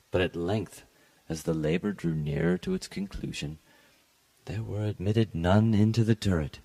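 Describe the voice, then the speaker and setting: A man giving a solemn, theatrical, measured dramatic reading of a gothic short story. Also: low voice, vocal fry, a little mellow. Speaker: literary podcast reader.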